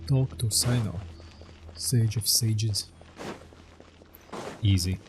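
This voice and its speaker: Hoarse man, slow casual gaming commentary. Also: on the low side. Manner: laid-back, short reactions. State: losing his voice. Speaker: gaming channel host. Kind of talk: casual let's-play commentary. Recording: commentary over loud game music.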